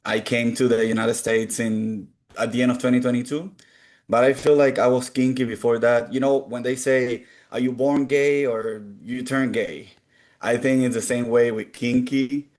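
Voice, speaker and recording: A man's voice: mid-range voice, steady tone, moderate pace, darkish tone. Earnest Cuban-born man. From Cuba. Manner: earnest, open and reflective. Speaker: guest. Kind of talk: conversational interview answers.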